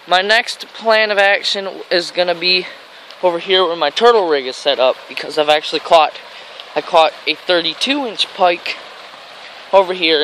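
A man's voice: on the high side, a bit bright, rough recording. An American man narrating a casual fishing vlog in a rambling, enthusiastic way, recorded on a handheld camera outdoors. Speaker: fishing vlogger. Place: outdoors on a grassy riverbank. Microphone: handheld camera mic. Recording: outdoor handheld camera, stream and traffic noise.

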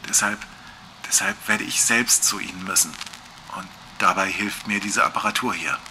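Actor as tense, low-voiced obsessive researcher. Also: male voice, bright, forceful tone, a little hiss or hum, low-quality recording. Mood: tense, brooding. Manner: deliberate, confiding, tense. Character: obsessive lone male researcher, anxious, brooding, racing against time. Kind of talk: German audio horror drama monologue.

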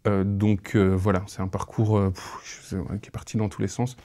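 A man in his thirties speaking reflectively in an interview, halting and thoughtful, on a lapel mic. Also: fairly low pitch, little pitch movement, dark tone. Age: 30s. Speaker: interviewee, audio fiction creator.

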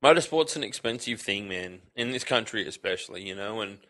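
An Australian man as a podcast guest, talking in a relaxed, rambling way full of fillers. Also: lowish voice, brisk. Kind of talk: casual chat.

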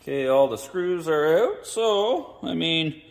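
A man's voice: on the high side, very animated, takes it slowly, creaky, big-room sound. A man casually talking through a carburetor rebuild at his garage workbench, thinking aloud with folksy slang. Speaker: mechanic explaining a carburetor rebuild. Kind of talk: casual hands-on workshop narration. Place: indoor garage workshop at a workbench.

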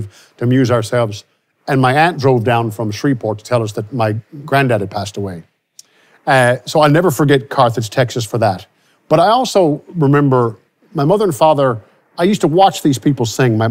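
A man's voice: medium pitch, a little mellow. Reflective man on a studio stage. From Louisiana, USA. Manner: reflective, with many restarts. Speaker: country singer guest. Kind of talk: studio interview. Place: studio with starry backdrop.

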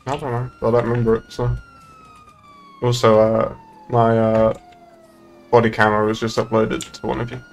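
Gravelly young man, blunt and sweary. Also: fairly low pitch, completely flat delivery, normal speed, long pauses, a little hiss or hum. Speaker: streamer playing a police boss in a roleplay game. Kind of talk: casual in-game roleplay chat. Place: indoors at a streaming setup.

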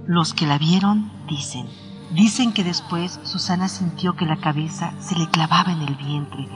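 A high-voiced village storyteller played by a man recounts a tragic past in a radio drama. The narration is dramatic and somber, with music playing clearly underneath. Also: a bit bright.

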